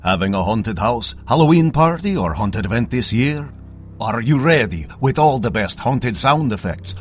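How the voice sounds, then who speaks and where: Man announcing a radio commercial over music. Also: mid-range voice. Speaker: advertisement announcer. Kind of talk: scripted spooky-themed radio commercial.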